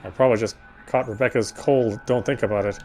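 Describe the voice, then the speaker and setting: A man's voice: medium pitch, steady tone, fast, darkish tone, soft background music. A man playing a visual novel game, reading its first-person narration aloud. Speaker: game player reading story text aloud.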